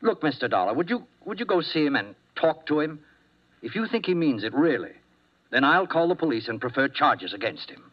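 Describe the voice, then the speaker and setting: An actor playing a nervous, fussy doctor in 1950s radio drama, speaking hesitantly and formally. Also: male voice, a little high, very animated, fairly quick. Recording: muffled old radio broadcast.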